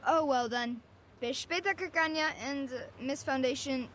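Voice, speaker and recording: Young female street arcanist: high voice, talkative, theatrical, teasing game character over clear music. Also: moderate pace, fairly bright tone. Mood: breezy, sardonic. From Bosnia and Herzegovina. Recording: music behind.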